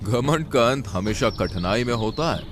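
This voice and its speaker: A man voicing a wise, patient lion king in an animal cartoon, with a measured, admonishing manner. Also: medium pitch, lively intonation, fairly bright tone, faint music behind, close to an everyday voice. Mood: calm, knowing.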